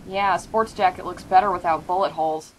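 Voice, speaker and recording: A woman playing a dry, tough fighter in an audio drama, with a deadpan, teasing manner. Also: on the low side, brisk, slight background noise, lightly acted, low-quality recording. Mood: wry. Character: dry, tough female fighter with fire powers.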